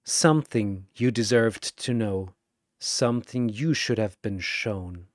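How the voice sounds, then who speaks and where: A grim masked male avenger from a dramatic battle story, as the narrator puts him on. He speaks slowly and coldly, with solemn discipline. The sound is dry and close. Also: mid-range voice, no room sound, natural voice. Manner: measured, solemn, cold pronouncements.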